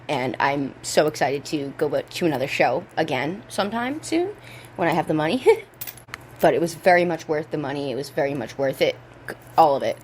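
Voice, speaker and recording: Hoarse, low-voiced young woman. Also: slight background noise. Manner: rambling, laid-back, swears freely. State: hoarse from a cold. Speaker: podcast host. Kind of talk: casual chat. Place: indoors at home.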